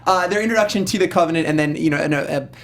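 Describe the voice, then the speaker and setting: A man's voice: fairly high pitch, racing. A man co-hosting a casual movie review chat, wordy and digressive, cutting himself off with informal, emphatic, sweary phrasing. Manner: verbose, digressive, self-interrupting. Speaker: talk show co-host reviewing video game movies.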